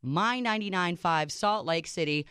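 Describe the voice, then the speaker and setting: Woman co-host, Serbian first language, chatty and quick, smooth and dry. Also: on the low side, a bit bright, no room sound. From Minneapolis, Minnesota. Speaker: radio show co-host. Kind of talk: casual radio talk.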